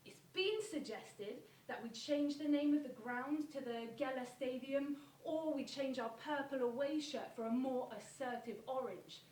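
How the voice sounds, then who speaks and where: Woman as self-assured psychic, high and echoey. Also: measured pace, few pauses, a little mellow. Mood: self-assured, promotional. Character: self-assured celebrity psychic father, earnest football supporter. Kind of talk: theatrical monologue of quoted statement. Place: theatre space, recorded from afar. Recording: noisy, echoey, distant microphone.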